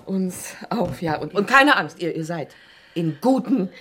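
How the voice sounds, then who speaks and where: A woman's voice: on the low side, lively intonation, unhurried, a bit bright, husky, exaggerated voice. A woman playing a chatty, patronising radio editor who gushes and repeats her words in naturalistic drama dialogue. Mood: gushing.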